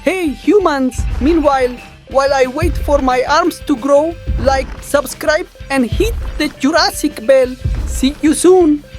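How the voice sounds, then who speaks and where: A woman's voice: sits high, a little slow, darkish tone, soft background music. A woman voicing a cheerful little dinosaur puppet in a show promo.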